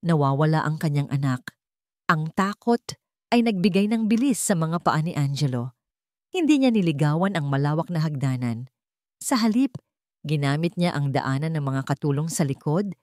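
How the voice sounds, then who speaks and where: A woman narrating a dramatic audio story in a measured, solemn way, recorded close to the mic. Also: fairly low pitch, a little mellow, no echo.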